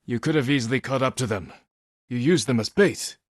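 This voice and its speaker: An actor playing a stoic, honorable samurai warrior in a video game, speaking in a measured, earnest and restrained way. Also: male voice, mid-range voice, no room sound, clean studio sound, lightly acted. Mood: firm, pressing. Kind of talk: scripted video game voice acting.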